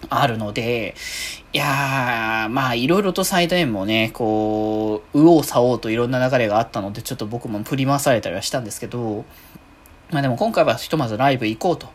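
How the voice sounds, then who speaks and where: A woman hosting a casual solo podcast, rambling along and often correcting herself as she goes. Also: a bass voice. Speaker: podcast host. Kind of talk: casual solo free talk.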